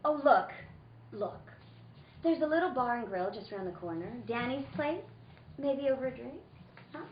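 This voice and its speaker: Young femme fatale played by a woman, coy and scheming, high lively voice, radio detective play, echoey room. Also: moderate pace. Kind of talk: live reading of old-time radio detective drama. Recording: roomy, slightly noisy, dull recording.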